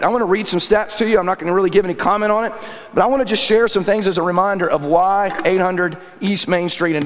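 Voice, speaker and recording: A man preaching a sermon in a conversational style, often clearing his throat and checking in with "all right?", his voice worn and close to giving out. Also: sits high. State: losing his voice. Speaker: pastor. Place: church sanctuary. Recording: echoey church hall.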